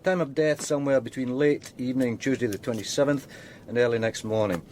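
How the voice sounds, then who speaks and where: An actor playing a dutiful police detective in a radio drama, giving an orderly, businesslike report. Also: male voice, mid-range voice, steady tone, brisk, darkish tone, slight background noise, close to an everyday voice. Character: dutiful male police detective briefing his superior.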